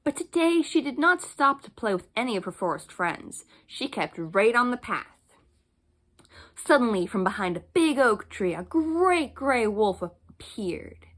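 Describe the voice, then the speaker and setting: A woman's voice: fairly high pitch, big pitch swings, measured pace, a bit bright. A woman narrating a children's bedtime story as she reads from a storybook. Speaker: storybook reader.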